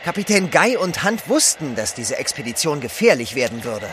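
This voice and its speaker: Polite manservant with very lively delivery. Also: male voice, fairly high pitch, moderate pace, a little hiss or hum. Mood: courteous. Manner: courteous, animated. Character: polite, loyal manservant on an adventure. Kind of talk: audio drama acting.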